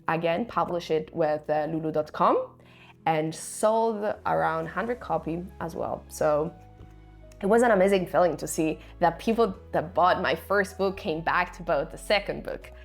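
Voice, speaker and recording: A woman's voice: on the low side, unhurried, creaky, soft background music. A woman in her twenties whose first language is Italian, telling her own story to camera in a reflective way with hesitant fillers. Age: 20s. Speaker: author and vlogger.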